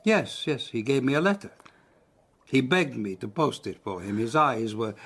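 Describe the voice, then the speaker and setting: An actor playing a grave, courteous doctor in a radio drama, speaking in a formal, measured way. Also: male voice, mid-range voice, expressive, fairly bright tone, breathy edge. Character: grave, courteous Italian doctor recalling a death.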